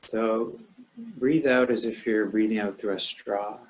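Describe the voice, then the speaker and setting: Male meditation teacher, lower-pitched voice, calm and measured, leaving long pauses between instructions. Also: rough recording.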